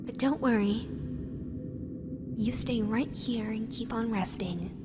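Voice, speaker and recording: A woman's voice: a little high, slow, heavy background noise. A woman voicing a lonely, possessive animatronic fox with a childlike, sweetly sinister manner, dreamy and lingering, in an audio roleplay. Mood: soothing, sinister. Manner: dreamy, lingering, repeats words softly. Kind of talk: scripted audio roleplay addressed to the listener. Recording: long hall-like reverb, music underneath. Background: background music.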